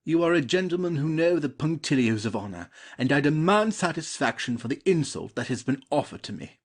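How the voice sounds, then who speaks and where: A man's voice: on the high side, sing-song, fairly quick. A man playing a gallant nobleman with courtly, formal manners and wounded honour in a comedy audio play. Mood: offended, dignified.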